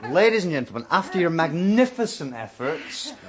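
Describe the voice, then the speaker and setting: A man's voice: fairly high pitch, sing-song, moderate pace, a crowd in the background. A man playing an anxious, officious English political organiser in a comic radio drama, flustered and exclamatory. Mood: formal, flustered.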